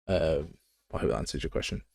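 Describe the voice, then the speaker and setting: Deep-voiced Midlands Englishman, casual streamer. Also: animated, a little fast, vocal fry, a little mellow, slightly rough, no echo, studio quality. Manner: rambling, blunt, informal, thinking aloud. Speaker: game development livestreamer. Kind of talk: casual live tutorial chat.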